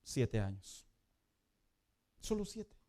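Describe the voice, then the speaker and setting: A man preaching a sermon as pastor in a conversational way, building to loud emphatic peaks. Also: mid-range voice, big pitch swings, extremely slow.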